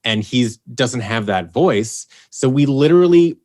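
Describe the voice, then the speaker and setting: A man, a voice actor and producer, talking as a guest on a podcast and telling stories in a lively way. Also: medium pitch, measured pace. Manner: animated storyteller, acts out quotes. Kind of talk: casual podcast conversation.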